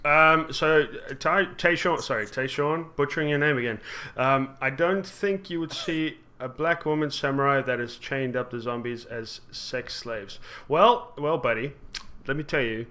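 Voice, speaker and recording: A man's voice: mid-range voice, moderate pace, bright, forceful tone. A man hosting a casual gaming podcast, rambling and laughing at his own jokes, with a hard-to-place accent. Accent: mixed, hard to place. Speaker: podcast host. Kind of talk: casual chat.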